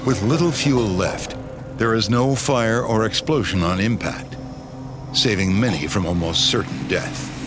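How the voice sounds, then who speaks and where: A man's voice: fairly low pitch, unhurried. A man reading scripted, dramatic documentary narration as the narrator. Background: dramatic music bed.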